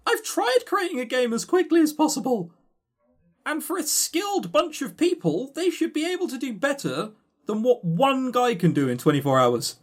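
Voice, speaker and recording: A man chats casually as a podcast co-host giving reviews. His pitch swings in a lively way, and he is dry and critical, often stumbling over the first word and starting it again. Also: moderate pace.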